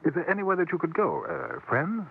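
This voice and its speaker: An actor playing a courteous, coolly dangerous English gentleman adventurer in a 1950s radio western, speaking formally with a British accent on an old broadcast recording. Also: high-pitched, sing-song, fairly quick, slightly rough, narrow, tinny audio, heavily acted. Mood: gentle, probing. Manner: formal, polite, precise. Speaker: lead character and narrator.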